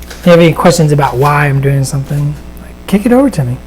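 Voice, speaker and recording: A man with a raspy voice, chatting casually and giving a live painting demonstration, with plenty of fillers and restarts. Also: on the high side, animated, quick pace, a little mellow, faint music behind, rough recording. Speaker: miniature painter and host. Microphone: studio microphone at a desk.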